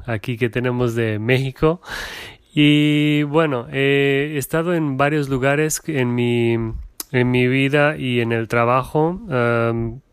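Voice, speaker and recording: Guy from germany talking spanish as a second language, halting, smooth clear voice, dry mic. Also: medium pitch, dry recording. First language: Portuguese or German. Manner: halting, many fillers. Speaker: guest, host's husband. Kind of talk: casual podcast interview.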